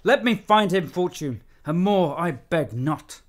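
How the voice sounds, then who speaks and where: An actor playing a fierce, grieving, vengeful warrior in a classical radio drama, declaiming impassioned verse. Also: male voice, on the high side, expressive, unhurried.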